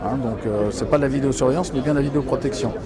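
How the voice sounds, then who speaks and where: A man's voice: medium pitch, rapid-fire, a loud crowd. A man, a local elected official, explaining things in an interview in colloquial, hesitant phrasing.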